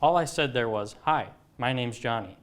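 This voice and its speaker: A man narrating a student television news report in a clear, even delivery. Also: medium pitch, moderate pace.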